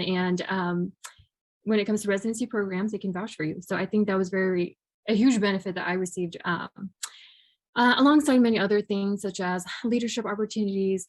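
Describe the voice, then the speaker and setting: Young woman, casual halting panel remarks. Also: medium pitch, rough recording. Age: young adult. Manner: casual, many ums, restarts. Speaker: radiology resident.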